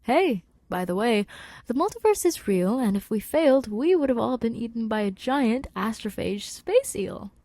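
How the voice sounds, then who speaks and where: A woman voicing a casual, wry, warm-hearted male human scientist in an audio story. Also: a little high, very animated, normal speed, dry recording. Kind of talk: podfic character dialogue.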